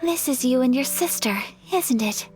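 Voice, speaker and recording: A woman's voice: high-pitched, animated, moderate pace, darkish tone. A woman voicing a refined, gracious young noblewoman who speaks in formal, courteous phrasing. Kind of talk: game dialogue. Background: game music.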